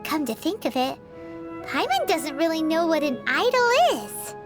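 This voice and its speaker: A very high-pitched, bouncy little floating fairy companion, cheeky and chatty, who keeps calling herself by name. Her voice leaps up and down as she banters in a video game, over soft game music. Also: big pitch swings, measured pace, full character voice. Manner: refers to herself in third person, chatty and cheeky. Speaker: sidekick companion.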